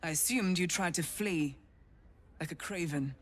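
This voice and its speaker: An actress playing a cold, disdainful cultist woman in a fantasy game, with a haughty, deliberate, sneering delivery. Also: fairly low pitch. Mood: haughty, scornful. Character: cold disdainful female cultist, menacingly formal.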